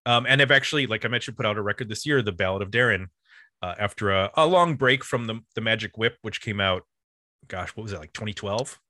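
Man, podcast host, bright forceful tone, casual informal explaining, dry sound. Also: on the low side, fast, no echo. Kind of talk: casual discussion of an album's history.

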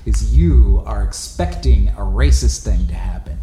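A man's voice: lowish voice, expressive, a little slow, dark tone. Blunt, profane man in an echoey room. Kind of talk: casual banter. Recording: echoey room with background noise.

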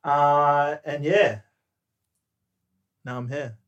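A man's voice: on the high side, very slow, muffled recording. A young man from New Zealand, a podcast guest, chatting casually with many ums and easy laughs. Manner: relaxed, hesitant, many ums, laughs easily.

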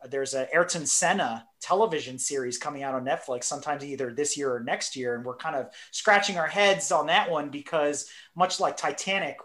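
A man's voice: fairly high pitch, pushed and bright. Enthusiastic rambling man, echoey room. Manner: enthusiastic, rambling with filler words. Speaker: podcast co-host. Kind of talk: casual chat about car films.

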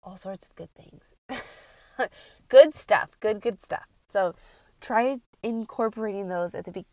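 A woman's voice: mid-range voice, slow pace, no room sound. A woman hosting a chatty solo podcast, speaking informally and emphatically straight to her listeners, with a stuffy, congested nasal sound. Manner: animated, emphatic, repeats phrases for effect; informal, conversational, addresses listeners directly. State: stuffed-up nose. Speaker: podcast host and health coach for moms.

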